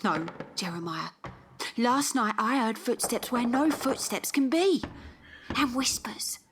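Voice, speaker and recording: A fearful, superstitious woman from a period audio drama. Her high voice draws words out slowly in dread, over faint noise. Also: lively intonation. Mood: terrified. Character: frightened, superstitious woman in a creaking old house. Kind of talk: audio drama acting of Victorian period fiction.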